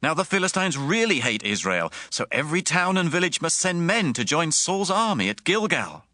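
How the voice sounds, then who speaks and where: A man's voice: fairly high pitch, sing-song, moderate pace, dry recording, clean studio sound. A man playing an urgent messenger proclaiming a call to war in a dramatized scripture reading. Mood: urgent, rousing. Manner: forceful, proclaiming. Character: urgent male messenger rallying villagers to war.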